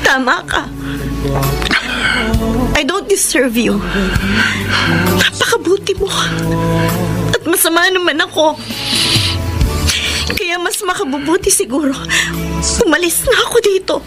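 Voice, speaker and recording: High-voiced, tender young Filipina. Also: female voice, unhurried, long pauses, over loud music. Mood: remorseful. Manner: expressive, melodramatic. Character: remorseful, tender young woman hiding a betrayal. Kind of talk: Filipino radio drama acting.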